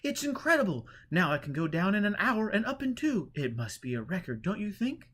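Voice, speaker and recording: A man reading an audiobook, playing a joyful, grateful reformed drinker who gushes with earnest excitement. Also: sits high, a little fast, slightly rough, pitched above the performer's own voice, exaggerated voice. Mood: overjoyed, excited. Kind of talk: audiobook character voice.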